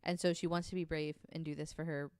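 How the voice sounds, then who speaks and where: A woman's voice: deep voice, flat delivery, quick pace, darkish tone, clear, resonant tone, dry recording, clean studio sound. A woman co-hosting a podcast, telling a story casually with many ums and the odd swear word.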